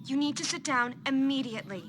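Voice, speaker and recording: A woman's voice: high-pitched, fairly quick, noisy background, close to an everyday voice. A woman playing a stern flight attendant in an audio drama, giving commands in a warning manner.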